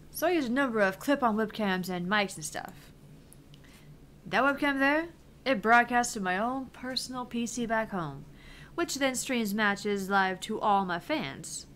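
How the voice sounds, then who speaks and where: A woman's voice: on the high side, expressive, moderate pace, fairly bright tone, slight background noise. A woman voicing a brash, cocky, foul-mouthed female game streamer in a visual novel, with taunting, theatrical delivery. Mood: boastful, eager. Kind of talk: visual novel read aloud in character.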